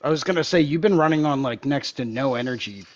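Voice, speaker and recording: A man, a guest tattoo artist, talking about a convention in a relaxed, joking way on a video call. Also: medium pitch, fast, a little hiss or hum. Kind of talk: casual chat. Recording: dull, lo-fi call audio.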